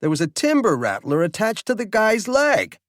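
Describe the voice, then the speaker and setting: Audiobook role: male narrator as jaded middle-aged warden, excitable, emphatic, voice pushed high, dry studio sound. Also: normal speed, no echo, exaggerated voice. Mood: excited, urgent.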